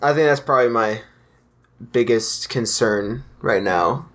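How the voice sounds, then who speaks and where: A young man hosts a podcast in easygoing conversation. He rambles a little, circling back and restarting his thoughts as he goes. His voice sits at an ordinary middle pitch. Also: a little slow, a bit bright. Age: young adult. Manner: chatty, rambling, loose fillers and restarts. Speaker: podcast host. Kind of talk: casual podcast chat.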